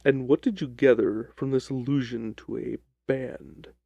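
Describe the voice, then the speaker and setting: Probing male detective, acted by a narrator, lowered dark voice, authoritative and clipped, dry audiobook sound. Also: steady tone, unhurried, gravelly, no room sound, highs cut off. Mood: probing, measured. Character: keen, probing male detective questioning a client. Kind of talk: dramatic audiobook character voice.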